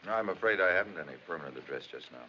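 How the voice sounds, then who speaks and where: An actor playing a courteous, cautious middle-aged lawyer with a dry, understated manner in a 1950s film. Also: male voice, low-pitched, animated, rapid-fire, bright, forceful tone, a little hiss or hum. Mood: reserved. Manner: polite, understated. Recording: muffled old film, music behind.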